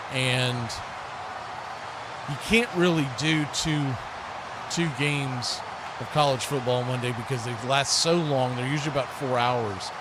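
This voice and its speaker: An American man giving live, unscripted football commentary as a stream host, in a folksy, conversational way. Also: mid-range voice. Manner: conversational, folksy, rises to excited shouts on big plays. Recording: clearly noisy live stream background.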